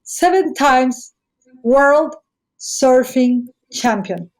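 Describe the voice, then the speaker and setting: A woman's voice: high-pitched, animated, very slow, low-quality recording. A middle-aged woman with a Mexican accent and native Spanish, chatting effusively and digressively as she hosts a podcast. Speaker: podcast host. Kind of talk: casual, chatty podcast introduction.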